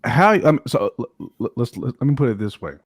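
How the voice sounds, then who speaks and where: A man's voice: mid-range voice, animated, brisk, breathy edge, dry recording, clean studio sound. A man co-hosting a casual hip hop discussion, speaking emphatically and repeating phrases to build his points. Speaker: podcast co-host.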